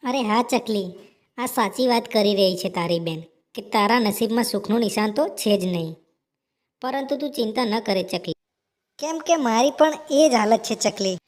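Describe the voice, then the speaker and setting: A fairly high voice plays a little cartoon friend, acted by a woman. She is consoling and hopeful, speaking in a reassuring, repetitive way in an animated moral tale. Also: normal speed, lightly acted. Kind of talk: Gujarati animated moral story dialogue.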